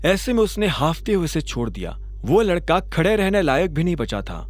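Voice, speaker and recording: Animated, higher-pitched male story narrator. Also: normal speed. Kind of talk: dramatic scripted story narration.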